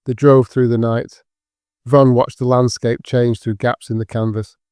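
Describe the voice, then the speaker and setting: Very even, dark-voiced male narrator. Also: medium pitch, a little slow, clear, resonant tone, no room sound, clean studio sound. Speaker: audiobook narrator. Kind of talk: scripted dramatic fiction narration.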